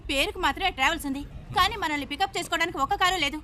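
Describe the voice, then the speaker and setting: An actress playing a fed-up, nagging woman in a film comedy, complaining with a sharp tongue. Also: very high-pitched, rapid-fire, a little hiss or hum. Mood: indignant. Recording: film audio with music behind.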